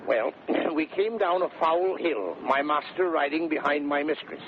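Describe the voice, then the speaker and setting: An actor playing a half-frozen, bossy, complaining servant in 1930s radio Shakespeare comedy. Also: male voice, a little high, unhurried, lightly acted. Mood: grumbling, boastful. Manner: exclamatory, complaining, stuttering with cold. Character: grumbling, half-frozen, bossy male servant back from a journey. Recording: scratchy, noisy, narrow-band 1930s radio recording.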